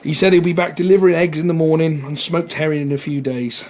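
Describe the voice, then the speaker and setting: Easygoing, higher-pitched actor as a country policeman. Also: fairly even intonation, quick pace, natural voice. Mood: friendly, relaxed. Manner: casual, gently friendly. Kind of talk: radio drama acting.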